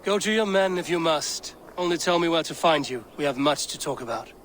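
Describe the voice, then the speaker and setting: Casting: pragmatic Viking raider, fairly high male voice, noisy outdoor game scene. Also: expressive, a little fast, fairly bright tone. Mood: determined. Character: Norse Viking warrior from Norway, cool, determined, pragmatic. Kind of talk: dramatic video game dialogue.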